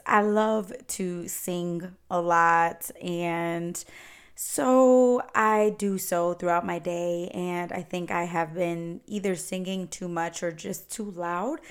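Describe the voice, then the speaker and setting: A woman, hosting a solo podcast and chatting warmly as she answers listener questions. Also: fairly low pitch, clear, resonant tone. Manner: chatty, encouraging, advice-giving. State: slightly raspy voice. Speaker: podcast host.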